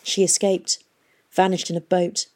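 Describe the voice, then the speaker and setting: A woman's voice: on the low side, flat delivery, a little slow, a little mellow. A woman reading the part of a guilt-ridden middle-aged father who confesses to murder, pleading and miserable. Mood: anguished.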